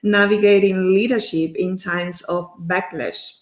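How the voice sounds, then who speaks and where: A woman hosts a live stream conversation. Her phrasing is halting and loosely strung together, and her voice carries a noticeable room echo around it. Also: mid-range voice, steady tone. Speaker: host of a livestream. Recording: echoey room sound.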